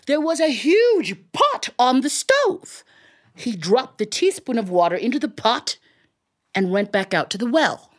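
A woman's voice: fairly high pitch, big pitch swings, a little slow. A woman storyteller performing a comic folktale live, theatrically, with vocal sound effects. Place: radio studio, live.

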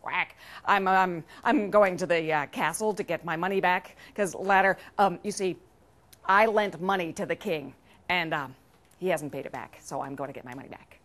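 A woman's voice: lowish voice, normal speed, a bit bright, natural voice. A proud, kindly duck from a storybook, acted out by a woman storyteller. The duck quacks before speaking, then mumbles and dithers through each thought. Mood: hesitant, agreeable.

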